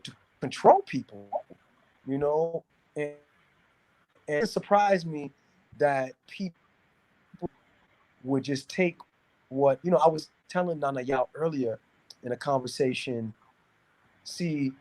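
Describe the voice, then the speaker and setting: A man from New City, talking casually and giving opinions in a halting way, repeating words as he searches for phrasing. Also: medium pitch, darkish tone, low-quality recording. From New York City, United States. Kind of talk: casual discussion, opinionated talk.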